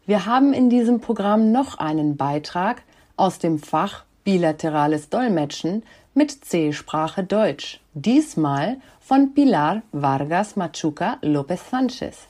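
A woman host reads a scripted introduction. Her voice is lower and mellow, and her pitch moves a lot as she announces. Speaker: program host.

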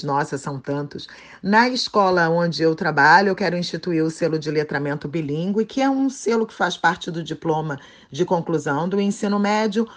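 A middle-aged Brazilian woman, a Portuguese teacher, giving a steady, orderly interview answer in a Brazilian accent. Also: lowish voice. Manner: measured and orderly, lists items one by one.

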